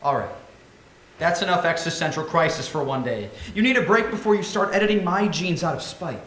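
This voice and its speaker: Lively, charming male scientist played by a man. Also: a little high, fairly quick. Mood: teasing, flirtatious. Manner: playful, teasing, theatrical. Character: charming, flirtatious, teasing male doctor in a Martian colony. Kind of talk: staged musical reading, sci-fi dialogue. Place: theatre stage. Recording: roomy theatre recording with faint noise.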